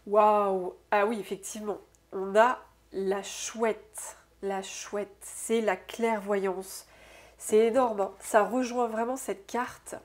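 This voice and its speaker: A woman with a stuffy, congested nose from a cold, giving an intuitive oracle card reading to her viewers, musing aloud softly and often tagging her sentences with "hein". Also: mid-range voice, steady tone. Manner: soft, unhurried, musing aloud with frequent "hein". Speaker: soul therapist and oracle card reader.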